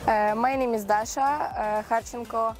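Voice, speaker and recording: A teenage girl with a Ukrainian accent, speaking English haltingly and pausing often to find her words. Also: a little high, fairly even intonation. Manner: halting, searching for words with many fillers. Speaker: young sailor interviewed.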